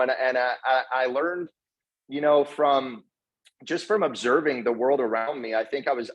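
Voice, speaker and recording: A man giving an informal motivational talk in an earnest, slangy way, often doubling back on his words. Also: mid-range voice. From Canada, partly raised in South Africa. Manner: earnest, effusively grateful, slangy bro-talk with repeated words. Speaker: guest speaker, network marketer. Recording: dull, lo-fi online call audio.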